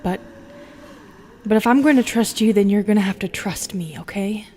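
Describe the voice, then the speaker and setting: A woman playing a lonely, wounded and wary Medusa in an intimate audio roleplay, speaking hesitantly and softly, often dropping into a whisper. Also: medium pitch, a little hiss or hum, natural voice. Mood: guarded, cautious. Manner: hesitant, halting, soft and breathy.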